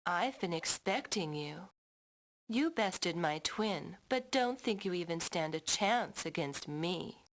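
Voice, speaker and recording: A woman's voice: lowish voice, animated, low-quality recording. A woman playing a haughty game villainess, condescending and coolly menacing in a theatrical style. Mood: disdainful. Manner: condescending, deliberate. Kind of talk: theatrical 1990s game voice acting.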